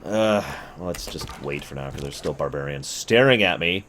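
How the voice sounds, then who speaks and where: A man's voice: rumbling low, lively intonation, moderate pace, fairly bright tone, a little hiss or hum. A man casually talking through a strategy game for his stream viewers, chatty and given to sudden mock outbursts. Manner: chatty, talks to chat, swings into mock outbursts. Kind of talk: casual live gameplay commentary.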